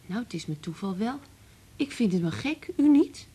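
A woman playing a chatty, concerned young typist in a Dutch radio drama, with a soft, husky voice. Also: fairly high pitch, expressive, normal speed. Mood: puzzled. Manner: solicitous, chatty.

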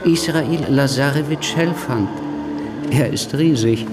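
A man's voice: medium pitch, slow, natural voice. An actor playing an earnest junior German diplomat in a radio play, giving an insistent report to his superior. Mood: informative. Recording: radio drama voice over loud music.

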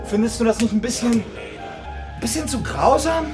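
An agitated, suspicious woman in charge played by an actress in a radio drama. Her pitch swings widely as she moves erratically between confiding and scolding, with clear music behind her. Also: animated, normal speed, lightly acted. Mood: reproachful. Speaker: actor in a radio play. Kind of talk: dramatic German radio play monologue.

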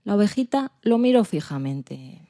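A woman's voice: medium pitch, sing-song, normal speed, dark tone. A woman with an accent from Spain, narrating expressively as a podcast storyteller. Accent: Spanish (Spain).

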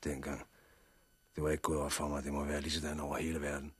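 An actor playing a chastened, plain-spoken man with a raspy voice in a 1960s radio drama. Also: very deep voice, monotone, no echo. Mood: remorseful, tired. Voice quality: raspy, husky. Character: chastened, plain-spoken former raider, now an easygoing companion.

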